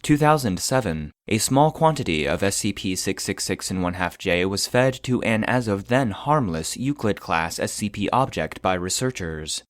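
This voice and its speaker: A man reading scripted narration in the style of a scientific report, formal and deadpan. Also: on the low side, no room sound, clean studio sound.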